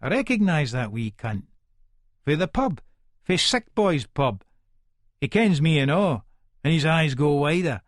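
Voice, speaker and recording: A man's voice: a little high, big pitch swings, a little slow, long pauses, dry recording, clean studio sound. An actor with an Edinburgh Scottish accent playing a violent, volatile hard man who narrates an audiobook in the first person, sweary and aggressive. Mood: menacing. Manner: aggressive, menacing, sweary, colloquial.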